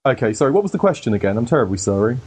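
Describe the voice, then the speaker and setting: Husky, self-deprecating man. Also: medium pitch, sing-song, very fast, darkish tone. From Denmark, has lived in the UK most of his life. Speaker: guest, paranormal researcher and theologian. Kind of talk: casual phone-in interview.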